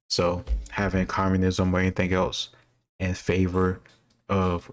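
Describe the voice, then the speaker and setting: A man giving casual, off-the-cuff commentary as a video host, thinking aloud and offering his opinions. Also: low voice.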